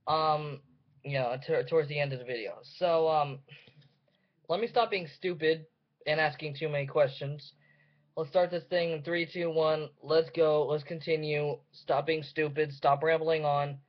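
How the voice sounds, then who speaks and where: A young man with a fairly high voice hosts a casual reaction video. He rambles hesitantly, cutting himself off and putting himself down. The webcam sound is dull and lo-fi. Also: fairly even intonation, normal speed. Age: young adult. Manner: hesitant, self-deprecating, breaking off mid-sentence. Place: indoors in a room at home. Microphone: webcam or computer mic.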